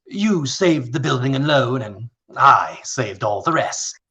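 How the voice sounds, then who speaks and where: Gravelly, scheming old tycoon. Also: male voice, medium pitch, animated, bright, forceful tone, heavily acted. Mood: smug, persuasive. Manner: theatrical, deliberate, condescending. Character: wealthy scheming old male tycoon, hated, condescending. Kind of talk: radio play acting.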